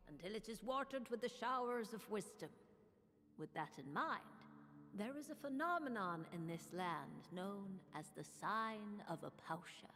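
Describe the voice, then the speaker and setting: Slow old witch mentor, reverberant. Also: medium pitch, a bit bright. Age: older. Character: elderly female witch mentor, sly, theatrical, wistful. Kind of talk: scripted letter narration in a video game. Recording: long echoing reverb, music behind.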